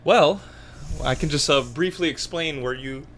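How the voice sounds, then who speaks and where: A man's voice: on the high side, measured pace, crowd noise. A man co-hosting a podcast in casual chat, joking around and tripping over his words. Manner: playful, jokey, stumbles over words. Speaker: podcast co-host.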